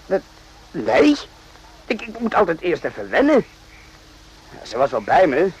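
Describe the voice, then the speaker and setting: A man's voice: high-pitched, huge pitch swings, measured pace, slightly rough, full character voice, low-quality recording. A man acting in a radio drama as an evasive, boastful, naive army private, hesitant and repeating himself. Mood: flustered, evasive.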